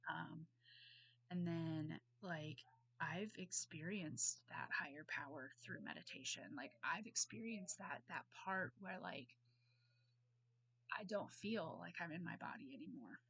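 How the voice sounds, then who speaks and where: Hoarse, gravelly woman with a cold. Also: lowish voice, measured pace, fairly bright tone, dry recording, poor audio. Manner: rambling, apologetic, many ums. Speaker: student. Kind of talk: casual recorded reading response. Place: at home on a bed. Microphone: computer mic.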